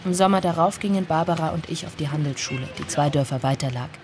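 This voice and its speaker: An actress playing a melancholy narrator in a literary radio drama, telling of childhood friends in a measured, restrained way. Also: female voice, deep voice, noisy background, natural voice. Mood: matter-of-fact. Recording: music under the voice. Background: music.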